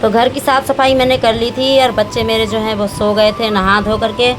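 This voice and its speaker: A woman in her thirties, a family vlogger talking casually and homely at home, her voice a little hoarse. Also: fairly high pitch, flat delivery, normal speed, background noise. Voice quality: chatty, homely, rambling with fillers. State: slightly hoarse. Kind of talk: casual home vlog chat.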